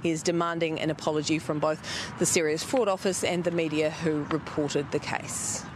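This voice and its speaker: A young woman with a New Zealand accent, a political editor giving a brisk live report from outside a parliament building. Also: low voice, fairly even intonation. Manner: brisk, clear explanatory reporting. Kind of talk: live news cross. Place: outdoors in front of a parliament building. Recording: outdoor noise with traffic.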